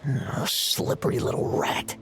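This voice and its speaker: A woman voicing a predatory game villain who toys with prey in a mocking, drawn-out way. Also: music in the background, close to an everyday voice. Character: taunting, predatory hunter toying with fleeing prey. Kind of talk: video game voice acting.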